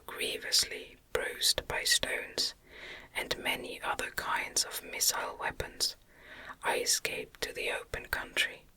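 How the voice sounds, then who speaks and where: A woman reads classic gothic fiction as ASMR, entirely in a whisper. The sound is very bright and sibilant, and she moves through each phrase slowly and gently, with deliberate pauses. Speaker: audiobook narrator, ASMR creator.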